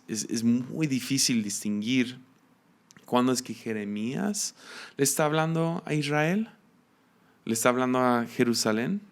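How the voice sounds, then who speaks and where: A man with a Mexican accent giving a reflective devotional teaching, musing aloud with restarts and soft laughs. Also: mid-range voice, clean tone. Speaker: preacher.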